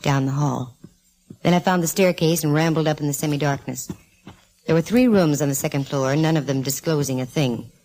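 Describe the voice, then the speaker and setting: A gutsy female private eye from a 1940s radio mystery, with a notably low voice. She is breezy and sardonic, tossing off hard-boiled wisecracks. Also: brisk, mellow, poor audio. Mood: wry, storytelling. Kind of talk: 1940s radio acting with first-person narration.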